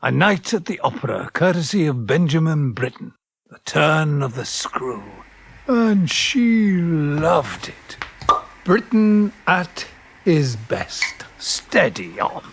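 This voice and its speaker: A man's voice: fairly high pitch, very animated, takes it slowly, vocal fry, breathy edge, exaggerated voice. An actor in an audio drama playing a cultured, romantic male physics teacher, earnest, erudite and self-deprecating. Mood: enthusiastic. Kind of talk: audio drama dialogue and narration.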